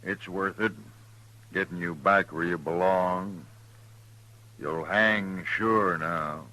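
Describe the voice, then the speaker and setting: Gruff, deep-voiced crooked sheriff. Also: male voice, steady tone, slow, sharp tone, exaggerated voice. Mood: smug, indignant. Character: smug, hard, vengeful corrupt frontier sheriff. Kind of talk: 1950s American radio western acting.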